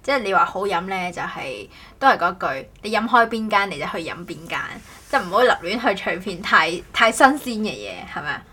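Podcast host, woman, forward bright tone, casual and halting delivery. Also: medium pitch, animated, slightly rough. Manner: halting, repeats words, self-correcting. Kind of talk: casual co-hosted podcast chat.

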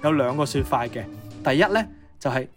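A young man with a Cantonese accent co-hosting a casual radio chat, talkative and quick to exclaim or question. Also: mid-range voice, steady tone, soft background music. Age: 20s to 30s. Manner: chatty, reacts with exclamations and questions. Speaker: co-host of a Cantonese pop history programme.

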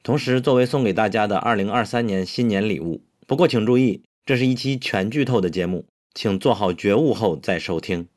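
A man with a fairly low voice reads a scripted announcement. He presents it as the announcer of a podcast. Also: brisk, few pauses, darkish tone.

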